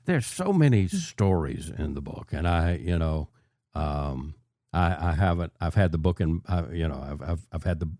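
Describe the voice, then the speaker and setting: Low-voiced man hosting a casual interview. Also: expressive, normal speed, warm tone, no echo, clean studio sound. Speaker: interview show host.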